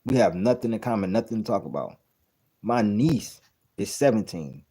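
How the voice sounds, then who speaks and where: Blunt profane man in his thirties, low and mellow. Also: expressive, normal speed. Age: 30s. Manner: blunt, profane and incredulous, repeating points. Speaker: reaction video host. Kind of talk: casual commentary reacting to a clip.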